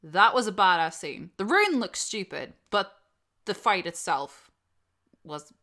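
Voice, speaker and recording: A woman host answering questions in a casual, opinionated and animated chat, swearing freely. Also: mid-range voice, a little slow. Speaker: host of an audio channel.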